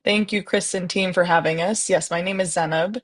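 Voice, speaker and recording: Female guest, slightly low voice, chatty and relaxed self-introduction. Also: brisk. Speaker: guest author.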